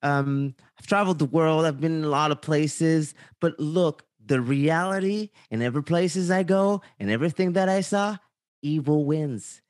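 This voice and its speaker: A man from Haiti, a guest in a casual interview, speaking in an earnest, measured, slightly hesitant way. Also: on the high side, darkish tone, no echo, studio quality.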